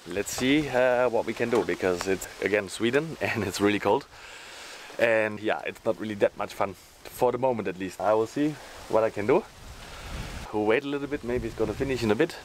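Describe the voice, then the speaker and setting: A man's voice: on the low side, slight background noise. A man in his forties, not a native English speaker, chatting casually to camera in a fishing vlog outdoors by a lake. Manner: chatty, loose non-native phrasing. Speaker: fishing vlogger. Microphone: camera held close.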